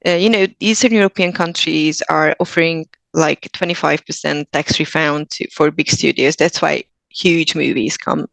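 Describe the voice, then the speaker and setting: A woman's voice: low-pitched, steady tone, moderate pace, creaky, few pauses, dry recording. A woman from Hungary with a Hungarian accent, a guest actor speaking earnestly in a casual podcast interview, often restarting her sentences. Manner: earnest, thoughtful, with frequent restarts.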